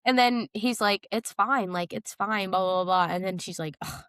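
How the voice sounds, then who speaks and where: A young woman co-hosting a casual pop-culture podcast, chatting in an animated, playful way with dramatic retellings. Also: medium pitch, normal speed, smooth, no room sound, clean studio sound. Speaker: podcast co-host.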